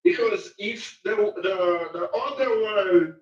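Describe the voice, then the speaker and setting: High-voiced Haitian man, French accent. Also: reverberant, far from the mic, highs cut off. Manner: halting, searching for English words, repeats himself. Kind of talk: casual conversation.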